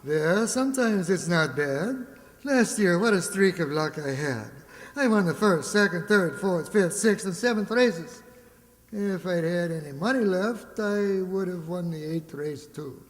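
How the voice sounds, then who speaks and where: Man acting high-voiced, wisecracking old-time maestro. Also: lively intonation, a little slow, big-room sound. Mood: mock-dignified. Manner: wisecracking, mock-dignified. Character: wry, broke musician maestro, wisecracking gambler.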